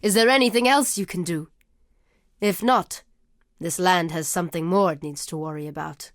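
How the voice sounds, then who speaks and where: A woman playing a proud, wary desert tribeswoman warrior in a video game, speaking in a solemn, measured and formal way. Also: lowish voice, dry recording, clean studio sound, lightly acted. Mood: grave. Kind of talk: video game character dialogue.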